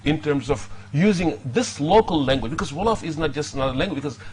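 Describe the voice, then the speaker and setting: A man's voice: fairly high pitch, lively intonation, quick pace, crowd noise. A man hosting an interview, asking long questions with many fillers and restarts. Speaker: show host.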